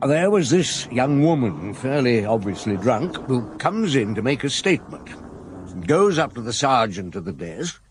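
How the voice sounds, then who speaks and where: A man's voice: mid-range voice, sing-song, normal speed, slight background noise, lightly acted. A man playing a dry, wry police chief inspector. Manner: dry, wry, understated storytelling. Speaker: narrator and lead detective. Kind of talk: radio drama acting.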